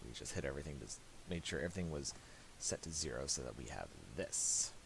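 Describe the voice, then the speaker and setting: Very deep, gravelly man, halting tutorial. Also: expressive. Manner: halting, thinks aloud while working. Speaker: tutorial host. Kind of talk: casual, unscripted software tutorial. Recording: hissy home recording with mouse clicks.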